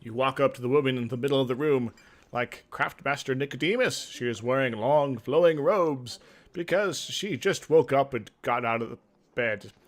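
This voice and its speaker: A man hosting a gaming video, switching between dramatic reading of game text and casual, rambling commentary. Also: medium pitch. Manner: hesitant, rambling asides between theatrical narration.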